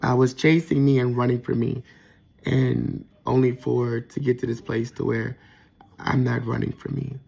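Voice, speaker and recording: A man with a soft voice, talking reflectively in a casual personal monologue with frequent pauses and restarts. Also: mid-range voice, level tone, vocal fry, slightly rough. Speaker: vlogger.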